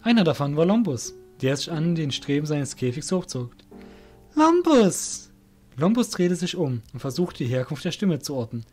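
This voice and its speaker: A man's voice: fairly high pitch, lively intonation, brisk, hardly any pauses, darkish tone, breathy edge, soft background music. A man reading scripted narration for an audio drama as the narrator.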